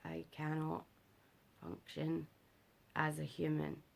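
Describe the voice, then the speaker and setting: A young British woman giving a casual vlog update, her voice rough and worn because she is unwell and has not slept, rambling with lots of fillers. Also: completely flat delivery, takes it slowly. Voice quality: rough gravelly voice. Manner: rambling, filler-heavy, low-energy. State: unwell and sleep-deprived. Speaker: vlogger.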